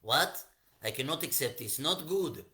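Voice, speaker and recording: A man's voice: mid-range voice, lively intonation, unhurried, pushed and bright, pitched above the performer's own voice. A man voicing an angry, imperious king in a theatrically told story. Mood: outraged. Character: angry, petulant king plotting murder.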